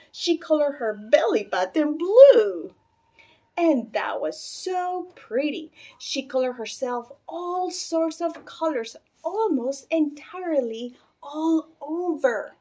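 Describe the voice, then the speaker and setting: A woman reading a children's storybook aloud with expressive, deliberate phrasing. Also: sits high. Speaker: storybook reader.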